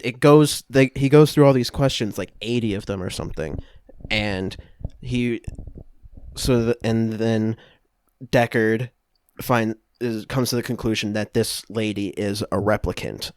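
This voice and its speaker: A man co-hosting a podcast, casually recapping a film to friends in a halting, conversational way. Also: fairly low pitch, fairly even intonation, darkish tone, clean tone, no echo. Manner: halting, loosely conversational storytelling. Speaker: podcast co-host.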